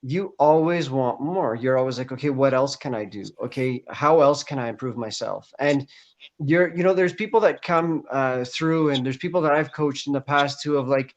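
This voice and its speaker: A man's voice: mid-range voice, moderate pace. A man hosting an interview show as a coach, enthusiastic and loose, with many fillers. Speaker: host, leadership coach.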